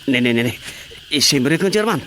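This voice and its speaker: A man with an Ilocano accent playing an eager, excitable village councilman in a comedy radio drama. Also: medium pitch, normal speed, a little hiss or hum, natural voice. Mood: excited, eager. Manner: excitable, repeated interjections.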